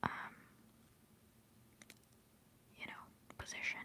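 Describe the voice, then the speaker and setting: A young woman hosting a livestream, whispering softly as she rambles through chat messages and answers viewers fondly, holding back tears. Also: extremely slow. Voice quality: soft whispering voice. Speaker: livestream host.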